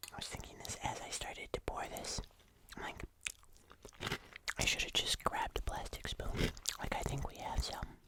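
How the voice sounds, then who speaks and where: An ASMR host speaking entirely in a soft, unvoiced whisper, chatting casually through a food review.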